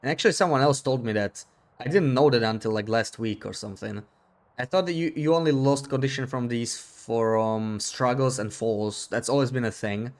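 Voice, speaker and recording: Male streamer, casual chat at a desk mic, mid-pitched voice, muses aloud and repeats himself. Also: normal speed. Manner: thinks aloud, with frequent restarts and repeats. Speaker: live streamer. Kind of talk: casual gameplay chat, reading viewer comments.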